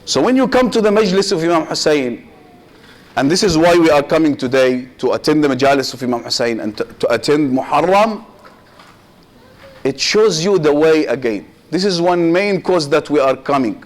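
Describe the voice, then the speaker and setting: A man, a sheikh giving a Muharram sermon, speaking in short measured phrases with pauses, addressing listeners directly and dropping Arabic religious phrases into his English. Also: on the high side, lively intonation. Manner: addresses listeners directly and asks rhetorical questions.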